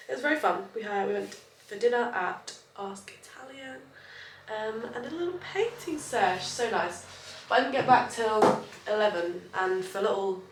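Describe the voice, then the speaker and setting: A woman's voice: medium pitch, a little hiss or hum. A young woman vlogging casually about her day, rambling and bubbly, her voice nasal from allergies. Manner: bubbly, rambling, self-deprecating. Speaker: vlogger and personal trainer. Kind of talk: chatty daily vlog. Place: at home in her flat. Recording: roomy, distant home audio with faint ambience.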